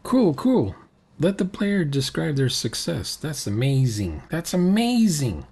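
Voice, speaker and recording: A man's voice: fairly high pitch, wildly swooping pitch, unhurried, a little mellow, slightly rough. An older man giving casual reaction commentary, speaking emphatically and repeating phrases for effect. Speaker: commentator reacting to a recorded roleplay session.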